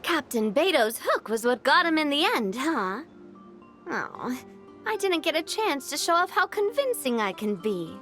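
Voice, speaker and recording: A woman's voice: high-pitched, big pitch swings, a little slow, a bit bright, soft background music, heavily acted. A woman playing a cheerful, playful, theatrical young woman in a video game, upbeat in her delivery.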